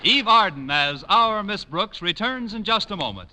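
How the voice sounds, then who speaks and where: A man's voice: high voice, animated, normal speed, pushed and bright. A man reading scripted announcements as a 1950s radio announcer.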